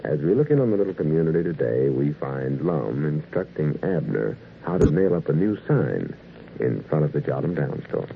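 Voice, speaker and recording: A man's voice: a bass voice, very animated, normal speed, gravelly. A man narrating from a script as the announcer of a 1940s radio show. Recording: scratchy, narrow-band 1940s radio recording.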